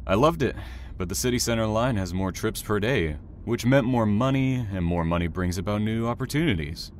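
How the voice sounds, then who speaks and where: A man playing a reserved, quietly intense train operator with veiled menace in an audio drama, speaking in a measured, courteous way. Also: on the low side, lively intonation, slight background noise, natural voice.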